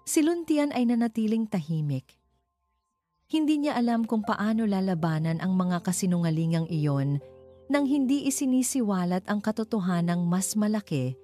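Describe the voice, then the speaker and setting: Woman, story narrator, low pitch, mellow tone, scripted dramatic reading, soft music behind. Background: background music.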